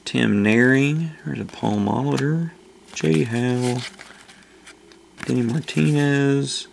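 A man hosting a casual video, slowly reading off names as he opens packs at a table indoors. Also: creaky, a little hiss or hum. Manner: slow, low-key listing of names. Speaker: channel host opening card packs. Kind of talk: casual card-opening commentary.

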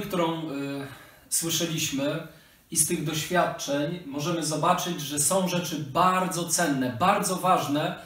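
A man in his thirties gives children a religious explanation. His voice is a bit high, heard slightly off-mic with very strong room echo. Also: fairly bright tone, far from the mic. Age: 30s. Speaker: children's lesson presenter. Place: living room, indoors.

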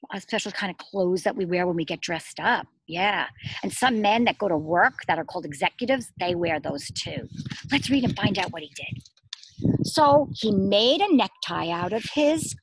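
A middle-aged woman reads a picture book to preschoolers in a very lively, up-and-down voice. Her tone has a husky edge, and the sound is dry and close, without room echo. She speaks like a teacher, putting questions to the children and leaving space for their answers. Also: medium pitch. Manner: animated, exaggerated expression, asking questions and pausing for answers. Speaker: preschool teacher reading a storybook aloud. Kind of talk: interactive picture-book read-aloud for young children. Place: outdoors among trees and shrubs.

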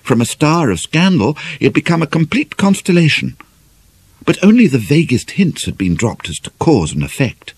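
A man's voice: on the high side, very animated, moderate pace, slightly rough, dry recording. A man with a British accent reading a novel aloud as a dramatic audiobook narrator.

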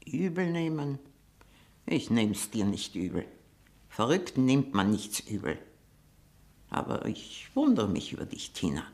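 A scornful old aunt in a German radio play. Her pitch swoops widely as she scolds and schemes, biting and insistent. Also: big pitch swings, unhurried, pauses often, darkish tone, exaggerated voice. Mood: mocking.

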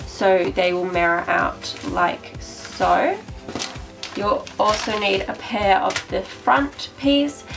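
A woman's voice: mid-range voice, distant mic. A woman in her twenties with a slightly nasal voice from a cold, chatting through a sewing tutorial with frequent "um" fillers. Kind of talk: casual step-by-step voiceover.

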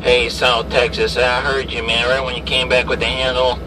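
A man works the CB radio from inside a vehicle, calling out and repeating handles. His voice is mid-pitched and picked up from a short distance. Clear vehicle noise runs behind him. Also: little pitch movement, moderate pace. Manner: repeats callsigns and phrases. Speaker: CB radio operator. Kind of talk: CB radio chat. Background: vehicle and ventilation noise.

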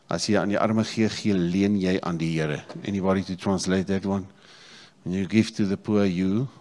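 Male South African preacher, fifties, lowish voice, repetitive emphatic phrasing, sermon delivery on a handheld mic. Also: a little mellow. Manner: repeats phrases, checks in with congregation. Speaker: pastor preaching. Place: church stage, indoors. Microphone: handheld microphone.

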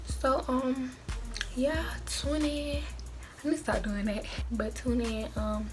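A woman's voice: high voice, flat delivery, slow pace, over music. A young woman vlogging from her bedroom, chatting casually and bluntly teasing strangers on video calls. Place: small bedroom. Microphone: phone camera at arm's length.

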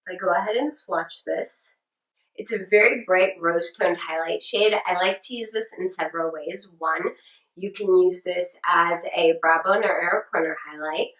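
A woman's voice: lowish voice, normal speed, a bit bright, roomy sound, poor audio. A young woman with a sore throat, chatting upbeat to camera as she reviews her monthly beauty favorites in a small room. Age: young adult. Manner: chatty and upbeat, repeats words for emphasis. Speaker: beauty vlogger. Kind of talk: casual product review to camera. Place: small room indoors with shelves. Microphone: camera at close framing.

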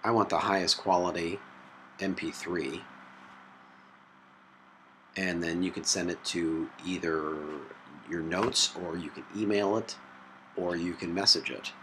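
Low-voiced man giving a halting tutorial. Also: fairly even intonation, fairly bright tone. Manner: halting, step-by-step explaining. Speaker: tutorial presenter. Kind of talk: hands-on software tutorial narration. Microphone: built-in laptop microphone. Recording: noisy laptop mic sound.

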